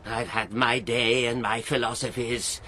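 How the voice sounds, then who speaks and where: An actor playing a wild, witty, mocking court jester in an audio drama, declaiming archaic verse theatrically. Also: male voice, little pitch movement, measured pace, bright, forceful tone. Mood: wry musing. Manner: swooping between low musing and shrill cries.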